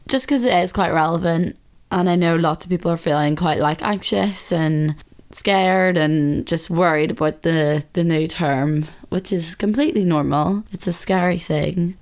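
A woman in her twenties from the UK with a slightly husky voice, hosting a podcast in relaxed casual chat from her bedroom. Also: lowish voice. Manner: chatty, relaxed, lots of fillers. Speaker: podcast host. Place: her bedroom, sitting on bed.

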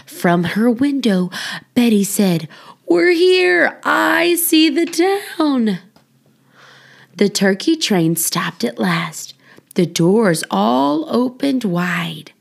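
A woman with a creaky voice, hosting and reading for a children's storytime podcast. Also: a little high, very animated, drawn-out words. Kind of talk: read-aloud children's story with casual intro and outro.

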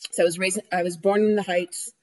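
A woman's voice: fairly low pitch, little pitch movement, a little fast. A young woman from New York City, chatting easily in an interview, laughing often and using plenty of fillers. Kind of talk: casual interview conversation.

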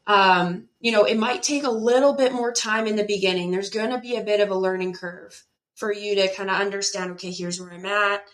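A woman explaining fitness in a casual, animated way, with many fillers and rhetorical questions. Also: medium pitch, a little fast. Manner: animated, lots of filler words and rhetorical "right?". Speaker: pelvic health and fitness expert guest. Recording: echoey room.

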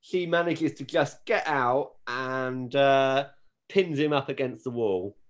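Male podcast co-host, medium pitch. Loose, hesitant, amused storytelling in a conversational recap. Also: takes it slowly. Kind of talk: casual chat, episode recap.

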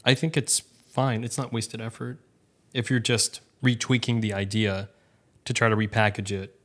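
A man's voice: lowish voice, little pitch movement, normal speed, a bit bright. A man co-hosting a podcast, chatting casually in a reassuring, self-deprecating way. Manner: reassuring, self-deprecating, restarts his sentences. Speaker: podcast co-host. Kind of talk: casual chat.

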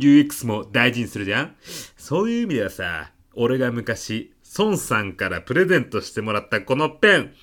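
A man plays a middle-aged salesman in a radio comedy. He is earnest and easily flustered, with a bright, forceful voice at a medium pitch. His intonation moves widely. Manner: polite, hesitant, protesting. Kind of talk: comedic radio drama acting.

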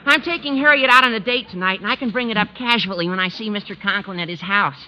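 A man's voice: high voice, fast, lightly acted. A male actor playing an eager teenage schoolboy, earnest and gushing, in old-time radio comedy style. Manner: earnest, gushing, gee-whiz adolescent. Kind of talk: 1940s-50s radio comedy acting. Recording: muffled old radio recording.

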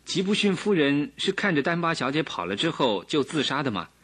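A man's voice: a little high, big pitch swings, brisk, fairly bright tone, husky, heavily acted. An actor playing an inquisitive, admiring man who questions a detective in a radio drama style. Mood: curious. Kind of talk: Chinese radio drama acting.

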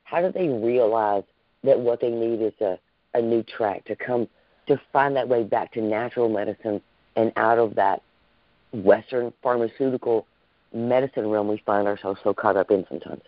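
A man's voice: on the low side, moderate pace, dry recording. A man hosting a podcast interview, effusive and gushing, often repeating himself. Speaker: podcast host. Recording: dull lo-fi call audio.

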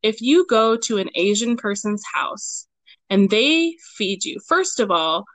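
A woman co-hosting a podcast in casual chat, animated, laughing often and quick to joke. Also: mid-range voice, dry recording, low-quality recording. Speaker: podcast co-host.

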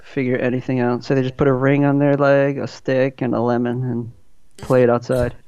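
A man chats casually as a podcast co-host and keeps dropping wry, jokey asides. His voice is dark and mellow. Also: medium pitch, flat delivery, a little fast, smooth, lo-fi sound. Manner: wry, joking interjections.

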